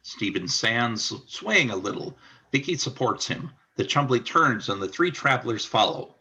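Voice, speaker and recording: A man's voice: medium pitch, lively intonation, normal speed, sharp tone. A man reading scripted narration for a radio play as its narrator.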